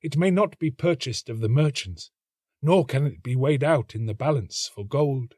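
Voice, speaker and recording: A man's voice: medium pitch, animated, moderate pace, darkish tone, dry recording, clean studio sound, pitched above the performer's own voice, close to an everyday voice. A man voicing a tender female nightingale in a storybook, earnest and romantic, with a lyrical, wondering delivery. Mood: wondering, admiring. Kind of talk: storybook reading.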